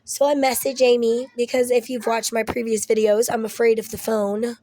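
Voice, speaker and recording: A woman's voice: fairly high pitch, flat delivery, normal speed, warm tone. A young woman, ill and losing her voice, chatting casually to camera at home, rambling and swearing with laughing asides. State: ill with Covid. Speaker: vlogger.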